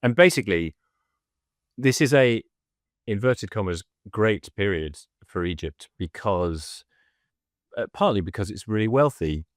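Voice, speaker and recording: A man co-hosting a podcast and explaining history in casual chat, measured and hesitant with many ums. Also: on the low side, lively intonation, no room sound, clean studio sound.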